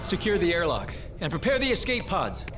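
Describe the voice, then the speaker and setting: An actor playing a dutiful, brisk military officer in a video game, with a clipped, formal manner. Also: male voice, high voice, wildly swooping pitch, heavy background noise. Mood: urgent. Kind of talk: video game cutscene acting. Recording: very loud game effects behind.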